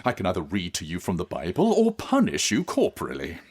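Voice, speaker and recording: An actor playing a stern, fanatical eighteenth-century reverend who preaches with slow, severe deliberation in a period audio drama. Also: male voice, low voice, huge pitch swings, darkish tone, a little hiss or hum. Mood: stern preaching.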